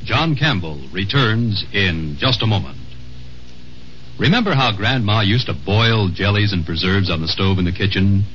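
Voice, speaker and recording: A male American announcer speaks on a dull old radio broadcast with faint music underneath. His 1950s-style scripted delivery swings up and down in an animated way. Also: fairly low pitch, moderate pace.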